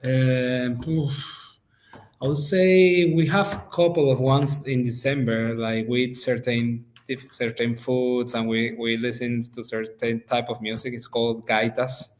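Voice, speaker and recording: A man from Venezuela with a Venezuelan accent, a native Spanish speaker talking casually as an interview guest, relaxed, restarting phrases and laughing easily. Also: medium pitch, measured pace, slight background noise. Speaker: podcast guest.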